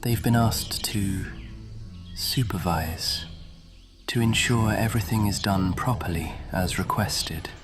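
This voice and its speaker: An actor playing a scheming, cultured, centuries-old lord in a fantasy audio roleplay, measured and condescending, often slipping into a breathy whisper. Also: male voice, on the low side. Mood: commanding. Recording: music behind the voice.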